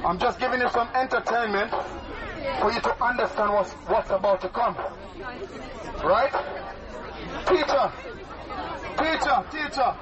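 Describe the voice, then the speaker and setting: A man from Jamaica with a Jamaican accent, chanting and talking loudly through a bullhorn outdoors near a school. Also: extremely high pitch, unhurried, long pauses, lo-fi sound, crowd noise. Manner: loud, sing-song, chanting. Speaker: street campaigner.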